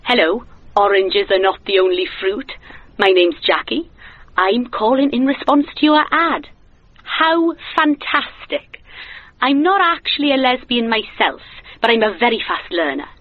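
A woman's voice: a little high, animated, measured pace, no echo. An actress playing a chatty, guileless woman in her thirties leaving an earnest comic phone message through a telephone filter. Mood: eager, chatty. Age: 30s. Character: chirpy, naively eager woman in her thirties leaving voicemail. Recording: tinny dry phone line.

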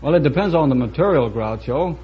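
A man's voice: fairly high pitch, animated, fairly quick, breathy edge. A middle-aged man from Virginia giving dry, careful answers to a quiz host. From Virginia, USA. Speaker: quiz show contestant. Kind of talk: interview answers. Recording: muffled old broadcast with faint hiss.

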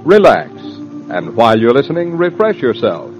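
A male announcer in the 1940s radio manner. His mid-range voice is highly animated in melody, yet measured and polished as he sells. Music plays behind him on a lo-fi recording.

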